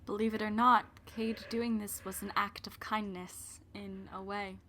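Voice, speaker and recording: A woman's voice: mid-range voice, flat delivery, a little slow, fairly bright tone. A woman narrating an audio drama from a script as a solemn storyteller.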